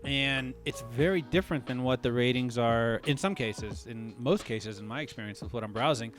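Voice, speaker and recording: A man co-hosting a casual podcast chat, thinking out loud and hedging his theories. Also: mid-range voice, normal speed, soft background music. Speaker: podcast co-host.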